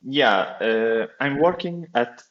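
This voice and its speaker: A man's voice: mid-range voice, slow pace, highs cut off. A man in his thirties with an Italian accent, speaking English as a second language as a podcast guest, hesitant with many filler sounds. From Italy. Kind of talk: interview conversation.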